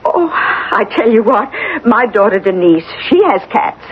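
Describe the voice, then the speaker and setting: A woman plays a middle-aged housekeeper in a radio drama, at a medium pitch. She is practical and obliging, now and then halting over her words. The vintage recording is dull, with some hiss. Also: expressive, natural voice. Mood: helpful, chatty. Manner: helpful, halting when taken aback. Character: obliging, matter-of-fact housekeeper, mother of grown daughter.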